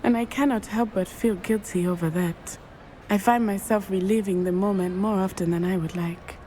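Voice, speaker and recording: A woman's voice: mid-range voice, steady tone, normal speed, dark tone, a little hiss or hum, natural voice. An actress playing an earnest, determined young student witch with a precise, formal way of speaking. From Matabeleland. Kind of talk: video game voice acting.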